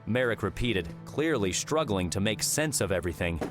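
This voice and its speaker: Male audiobook narrator: scripted storytelling, fairly low register, clear music bed. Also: animated, moderate pace.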